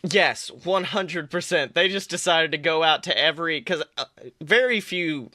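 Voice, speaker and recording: A young man rambles and jokes through a casual fan chat with lots of fillers. His voice is bright and a touch high. The recording is close and dry. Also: normal speed, no room sound. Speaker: podcast co-host.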